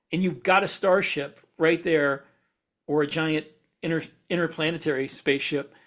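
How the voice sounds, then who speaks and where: A man co-hosting a podcast, explaining ideas in casual discussion and often restating phrases in a halting way. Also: fairly high pitch. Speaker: podcast co-host.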